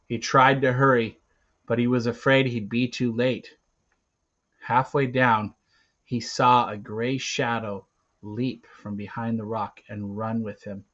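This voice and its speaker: A man narrating a storybook aloud theatrically, with dramatic pauses and swings between hushed and loud delivery. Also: mid-range voice, level tone, highs cut off.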